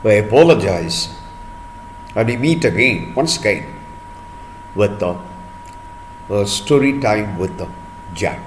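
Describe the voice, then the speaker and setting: A man telling a folk tale to children in a deliberate manner, pausing often and repeating or explaining words as he goes. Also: on the low side, expressive, slight background noise, low-quality recording. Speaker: storyteller for children. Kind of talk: storytelling for children, with word explanations.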